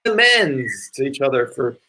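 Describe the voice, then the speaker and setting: A man playing an earnest, preachy brother in a live play reading, deliberate and insistent. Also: medium pitch, very animated, sharp tone.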